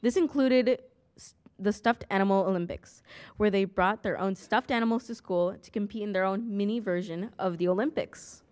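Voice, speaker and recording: A young student council president reading a prepared school report aloud at a desk microphone in a large meeting hall. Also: female voice, medium pitch, normal speed. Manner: reads aloud from a script, even and careful.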